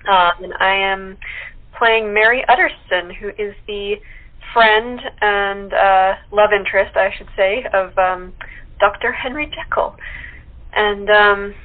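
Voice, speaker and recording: A woman's voice: medium pitch, takes it slowly. A woman speaking casually as an actress guest in an interview. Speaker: radio play actress as guest. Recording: tinny, narrow phone-line sound.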